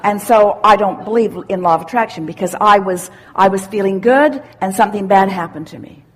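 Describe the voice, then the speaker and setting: A woman teaching interactively as a seminar speaker, emphatic and deliberate, repeating phrases and posing questions. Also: mid-range voice.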